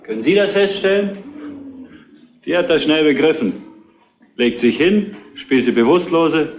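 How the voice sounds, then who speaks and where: An actor playing a hot-tempered, defensive truck driver under suspicion in a retro crime radio play. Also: male voice, a little high, animated, unhurried. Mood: defiant. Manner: defensive, surly, argumentative. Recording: roomy, echoing studio sound.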